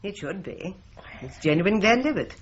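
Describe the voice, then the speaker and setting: An actress playing a brisk, boastful hostess who pours whiskey and brags about a golf win. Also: female voice, mid-range voice, sing-song, breathy edge, highs cut off. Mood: hearty.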